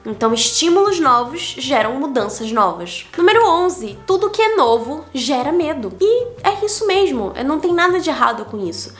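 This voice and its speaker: A woman's voice: high-pitched, very animated, moderate pace, hardly any pauses, soft background music. A young Brazilian woman with a Brazilian Portuguese accent, talking candidly in a casual vlog and counting off numbered lessons. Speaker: digital nomad vlogger. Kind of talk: casual reflective vlog monologue.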